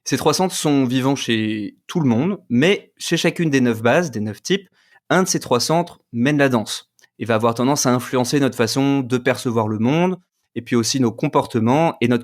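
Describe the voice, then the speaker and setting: Guy co-hosting a podcast, reads his explainer calmly, mid-pitch voice. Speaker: podcast co-host, enneagram coach and trainer. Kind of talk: scripted explanatory presentation.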